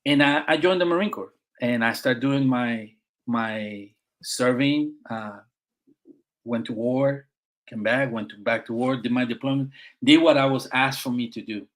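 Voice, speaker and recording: A man's voice: mid-range voice, unhurried, a bit bright, low-quality recording. A middle-aged man with a Puerto Rican accent, a Marine veteran guest speaking earnestly in a conversational interview, with frequent fillers and restarts. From Puerto Rico.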